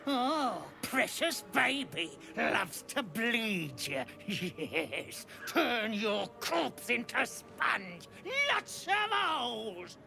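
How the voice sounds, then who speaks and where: A gravelly little game goblin, snarling with gleeful menace in broken speech. The delivery is slow and swoops wildly between low growls and shrieks. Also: big pitch swings, bright, forceful tone, soft background music, heavily acted. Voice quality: gravelly, creaky. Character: small vicious red-capped goblinoid creature, foul-mouthed and bloodthirsty. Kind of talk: video game character acting.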